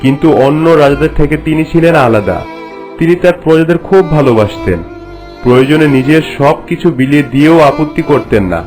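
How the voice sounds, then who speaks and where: A reverent royal courtier from a cartoon fairy tale, played by a man, speaks in a slightly high male voice. He explains with formal, respectful storytelling delivery. Music plays softly underneath. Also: a little fast, natural voice. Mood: respectful, solemn. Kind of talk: scripted cartoon dialogue in formal narration.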